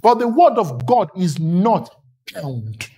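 A man's voice: high voice, very animated, takes it slowly, warm tone, husky. A man from Lagos with a Nigerian accent, preaching a sermon with emphatic, rhythmic repetition and long lists.

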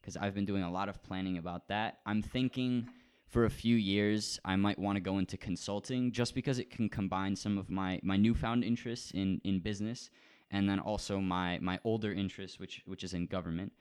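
A teenage boy with a very low, smooth voice chats casually as co-host of a podcast. He rambles with plenty of restarts and gets more animated when he tells a story. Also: a little fast. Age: teens. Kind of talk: casual chat.

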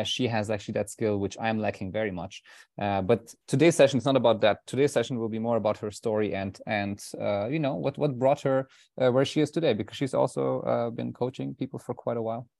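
A man's voice: medium pitch, vocal fry, a little mellow, no room sound, studio quality. A man hosting a podcast, introducing his guest in a loose, rambling way full of fillers and restarts. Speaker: podcast host. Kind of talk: casual interview intro.

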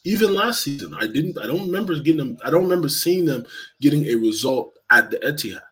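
A man's voice: a little high, animated, fast, a bit bright. A man on a football podcast panel, making his point emphatically with lots of "like". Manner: emphatic, leans on "like". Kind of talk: casual football chat.

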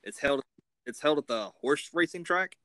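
A man's voice: fairly high pitch, expressive, moderate pace, sharp tone. A man hosting a casual interview, informal and a little self-deprecating in the way he talks. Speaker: interview host.

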